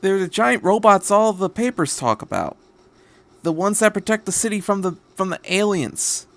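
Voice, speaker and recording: A man voicing a sharp, blunt, grim young office woman in a story reading, with a pointed manner. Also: sits high, animated, moderate pace, voice pushed up. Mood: sharp, incredulous.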